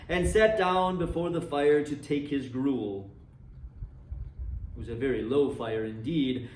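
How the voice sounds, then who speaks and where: A man with a fairly high voice reads a story aloud. His delivery is dramatic and expressive, with measured phrasing. He is some distance from the microphone, and the room echoes clearly around him. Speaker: library volunteer reader and host. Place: indoor room decorated for Christmas, seated. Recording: roomy echo, distant microphone.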